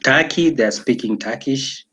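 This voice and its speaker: A man's voice: medium pitch, a little slow, sharp tone. Hesitant Tanzanian-born man chatting. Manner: hesitant, many fillers and restarts. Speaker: interview guest. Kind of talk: casual interview chat.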